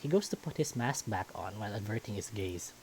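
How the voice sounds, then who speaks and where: A man's voice: lowish voice, lively intonation, brisk, a little mellow, slight background noise. A man reading a visual novel aloud as first-person story narration.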